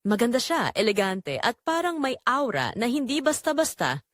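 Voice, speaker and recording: A woman playing a betrayed young wife in an audio drama, narrating her heartbreak in earnest, measured, confessional phrases. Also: a little high, big pitch swings, a bit bright, no echo, clean studio sound. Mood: wounded, reflective. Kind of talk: scripted first-person dramatic narration.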